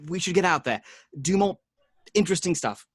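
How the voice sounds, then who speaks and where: A man's voice: high-pitched, lively intonation, a little fast, fairly bright tone, breathy edge, no room sound, clean studio sound, full character voice. A man playing a defensive, placating slacker boyfriend, hesitant in manner, in an informal table read of a film script.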